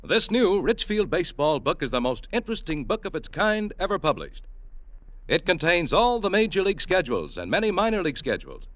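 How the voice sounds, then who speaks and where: A man reading a 1950s radio commercial in an upbeat sales pitch. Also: very animated, fairly quick, a bit bright. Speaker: commercial announcer.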